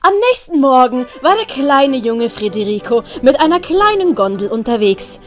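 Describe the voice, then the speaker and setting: A woman narrates an audiobook story in a high voice with a Swiss German accent. Her pitch moves in a lively way, and music plays clearly behind her. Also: moderate pace.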